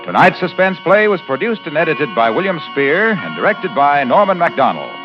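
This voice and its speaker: Animated high-pitched American radio announcer. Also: male voice, moderate pace. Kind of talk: 1940s radio announcing, scripted. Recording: muffled vintage radio with music behind.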